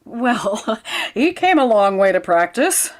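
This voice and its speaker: A woman's voice: medium pitch, sing-song, slow. A woman playing a pleasant, wisecracking schoolteacher with a dry, wry manner in old-time radio comedy style. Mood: bemused.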